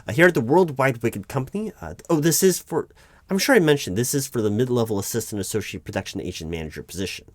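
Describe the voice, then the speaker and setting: A man playing a nervous, polite recruiting manager in a job interview roleplay, speaking aloud in a halting, stammering, apologetic way. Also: medium pitch, animated, dry recording, clean studio sound, natural voice. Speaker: roleplay performer. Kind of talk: one-sided job interview audio roleplay, spoken aloud.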